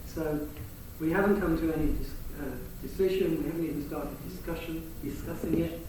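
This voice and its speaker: Hesitant English councillor in an echoey hall. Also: male voice, a little high, a little fast, mellow, slight background noise. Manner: hesitant, searching for words. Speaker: town councillor. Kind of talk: answering questions at a public meeting. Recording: echoey hall, distant mic.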